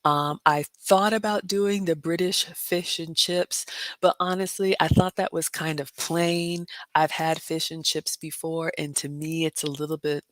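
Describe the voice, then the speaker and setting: A woman with a low, raspy, smoky voice, chatting casually on a livestream and reading out viewer comments. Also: steady tone, a little slow, no echo. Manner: chatty, says "y'all" and "you all" often. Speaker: livestream host.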